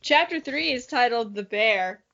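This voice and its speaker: High-voiced woman co-hosting a comedy podcast. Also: lively intonation, unhurried, sharp tone, dull audio. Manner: self-mocking, giggly. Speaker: podcast co-host. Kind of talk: dramatic reading of childhood story with joking asides.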